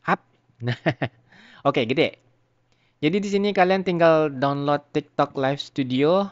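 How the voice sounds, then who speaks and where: Male YouTuber, medium pitch, informal tutorial delivery, chatty and slangy, talking straight to viewers. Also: dull audio. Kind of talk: casual software tutorial.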